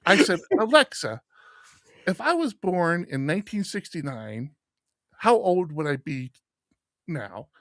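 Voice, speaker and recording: A man's voice: high-pitched, a little slow, long pauses, no room sound. A man in his fifties co-hosting a podcast, telling stories in casual chat and acting out the voices of people he quotes. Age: 50s. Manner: animated storyteller, acts out quoted voices. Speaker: podcast co-host.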